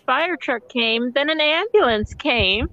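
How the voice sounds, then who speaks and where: A woman's voice: sits high, lively intonation, unhurried, pushed and bright, no room sound. Giggly teen girl, slightly dull sound. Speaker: guest. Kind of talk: casual chat.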